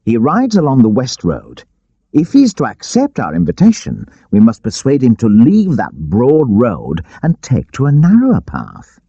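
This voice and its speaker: A male narrator voices a bold male outlaw chief in a storybook adventure, pitched well up from a low register and swooping widely. He is gleeful and commanding, rallying his men with declamatory vigour. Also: wildly swooping pitch, moderate pace, mellow, breathy edge, dry recording, dull audio, full character voice. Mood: rousing, commanding.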